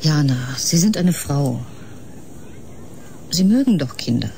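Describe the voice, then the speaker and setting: A woman's voice: low voice, lively intonation, slow pace, vocal fry, mellow, a little hiss or hum, full character voice. An actress playing an anxious, humane woman doctor in a science-fiction radio drama, pleading and emotional.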